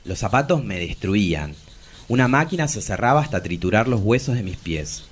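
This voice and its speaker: An actor playing a bawdy, melancholic travesti poet in their forties in a theatrical, confessional radio drama. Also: male voice, fairly low pitch, sing-song, moderate pace, breathy edge. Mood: weary, bitter. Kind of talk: radio drama dialogue and monologue.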